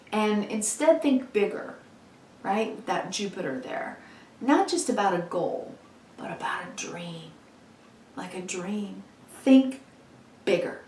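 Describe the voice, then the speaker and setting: Female tarot vlogger, warm and chatty, slightly hoarse, mid-pitched, recorded in an echoey space a few feet from the mic. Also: a little hiss or hum. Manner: chatty and encouraging, many "you know"s. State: losing her voice, slightly hoarse. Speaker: tarot reader. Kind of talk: weekly tarot reading to camera. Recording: echoey room, mic at a distance.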